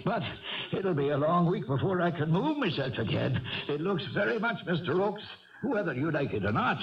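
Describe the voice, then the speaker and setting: A man's voice: high voice, full character voice. An actor playing a small, scrappy Irish horseman, proud and full of blarney, in 1970s radio drama style. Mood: pained, cunning. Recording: dull, distant vintage radio recording.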